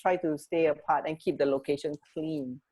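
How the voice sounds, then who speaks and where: A woman co-hosting a radio show in casual chat, talking in a chatty, halting, rambling way. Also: low-pitched, fairly even intonation, warm tone, breathy edge, low-quality recording.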